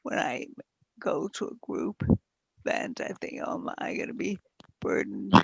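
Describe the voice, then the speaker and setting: A woman speaking frankly in a group conversation in a weakening, often whispered voice, halting and breathy with long pauses. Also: low-pitched, very animated, dry recording, muffled recording. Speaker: host of a conversation series.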